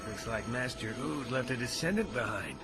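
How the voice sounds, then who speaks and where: An actor playing a battle-worn, excitable and wry Jedi sidekick in an audio drama. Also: male voice, medium pitch, moderate pace, natural voice. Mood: subdued. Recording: loud music over the voice.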